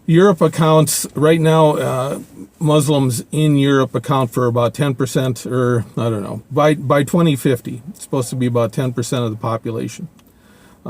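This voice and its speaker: A man's voice: mid-range voice, a little mellow. Older guy rambling his opinions to the camera, lots of uhs and restarts. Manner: halting, many fillers and restarts. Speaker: video blogger. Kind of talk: casual opinion monologue.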